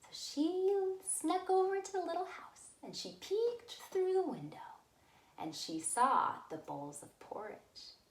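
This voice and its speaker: A woman telling a story to young children as a librarian, with simple, deliberate phrasing. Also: very high-pitched, sing-song. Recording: roomy, echoey room sound.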